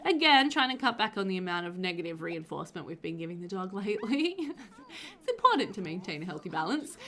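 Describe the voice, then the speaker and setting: A woman in her thirties narrates her gameplay in a loose, chatty way, laughing often. Her nose sounds slightly stuffed up. She talks into a headset at a middle pitch. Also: bright, forceful tone. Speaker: gaming channel host. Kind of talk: casual gameplay voiceover.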